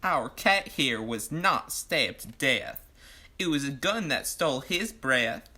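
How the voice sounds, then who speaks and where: Woman playing a husky, very low-voiced examiner. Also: animated, takes it slowly, bright, forceful tone, full character voice. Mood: emphatic, knowing. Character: forceful forensic examiner, matter-of-fact and knowing. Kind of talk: rhyming comic audio drama.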